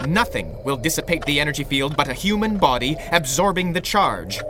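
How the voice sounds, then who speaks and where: A man's voice: fairly high pitch, sing-song, a little fast, noisy background. An actor playing a gloating, grandiose villain inventor in a pulp radio drama, proud of his deadly trap. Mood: smug, gloating. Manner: theatrical, smug, grandiose.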